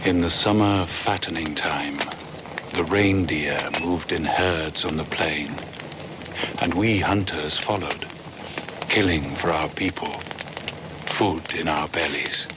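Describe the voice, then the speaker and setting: A deep-voiced, wistful ancient hunter. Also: male voice. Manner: measured, reflective storytelling. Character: prehistoric male reindeer hunter, wistful, reminiscing. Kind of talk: dramatic radio play monologue. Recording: noisy rain-like background ambience.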